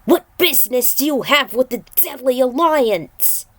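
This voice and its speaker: Vengeful male fighter for a story read by a woman: high, forceful, furious, menacing. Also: expressive, a little slow, dry recording, exaggerated voice. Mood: accusing. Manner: forceful, threatening. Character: furious vengeful male warrior, threatening and hot-tempered.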